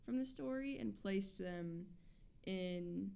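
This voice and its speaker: A twenty-one-year-old woman, a band member, chatting in a radio studio interview, talking fast with lots of fillers and little laughs. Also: fairly low pitch, mellow, clean tone. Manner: chatty, many fillers, giggly. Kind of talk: casual interview chat.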